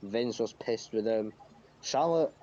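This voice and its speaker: A man's voice: on the low side, slow pace, mellow. A man co-hosting a podcast, casually recapping news, recorded on a dull lo-fi mic with faint noise. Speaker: podcast co-host.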